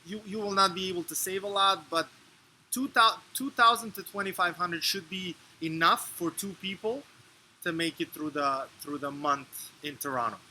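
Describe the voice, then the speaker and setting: A man from Serbia in his thirties, answering interview questions in a measured, explanatory way with fillers and restarts. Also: high voice, sharp tone, slight background noise, low-quality recording. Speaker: interview guest.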